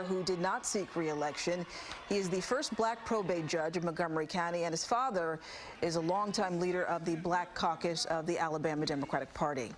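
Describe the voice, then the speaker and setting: A woman's voice: on the low side, a little hiss or hum. A woman with an American accent reading scripted news as an anchor, in a formal, polished broadcast delivery. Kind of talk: scripted TV newscast narration.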